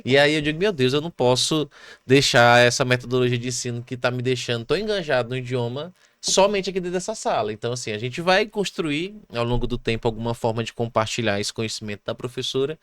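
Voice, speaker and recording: A man's voice: medium pitch, fairly quick, a bit bright, clear, resonant tone, no echo. A man hosting a casual podcast interview, friendly and enthusiastic. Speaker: podcast host. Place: studio.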